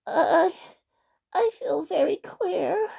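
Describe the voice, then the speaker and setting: Woman voicing a plaintive little pixie boy, very high. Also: expressive, extremely slow, vocal fry, warm tone, full character voice. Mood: queasy, bewildered. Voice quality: small, thin, high.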